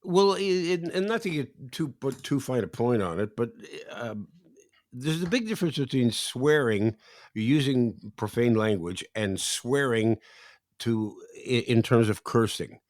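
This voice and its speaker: A man's voice: medium pitch, lively intonation, a little slow, no echo, clean studio sound. A man hosting a podcast interview, asking questions with frequent stammered restarts. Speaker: podcast host. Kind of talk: interview conversation.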